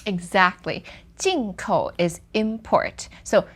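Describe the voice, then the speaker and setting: A woman teaching Chinese vocabulary in a lively, quick lesson dialogue. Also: mid-range voice, husky. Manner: lively, quick, gives example sentences slowly.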